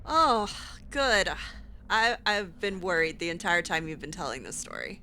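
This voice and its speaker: A woman's voice: on the low side, big pitch swings, unhurried, sharp tone, a little hiss or hum. A woman speaking in character in an improvised roleplay conversation, reacting hesitantly and letting phrases trail off. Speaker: player voicing her character in a roleplay playthrough.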